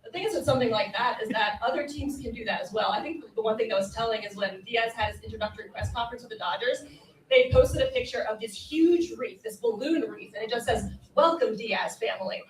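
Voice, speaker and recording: A woman's voice: a little high, fast. A woman speaking as a sports reporter, chatting casually on a live panel. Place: live convention stage. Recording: echoey room, far from microphone.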